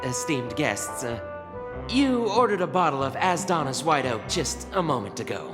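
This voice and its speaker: A woman's voice: low voice, big pitch swings, moderate pace. A courteous hotel server. Her polite voice lilts up and down and echoes in a reverberant space, with game music behind. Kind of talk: video game voice acting.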